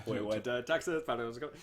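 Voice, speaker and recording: A man's voice: medium pitch, a little fast. A man in his early twenties co-hosting a casual livestream. He reads viewer comments aloud in a laid-back, teasing way. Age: young adult. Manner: laid-back, teasing, often trails off quietly. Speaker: livestream co-host. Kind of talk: casual live-stream chat reading viewer comments.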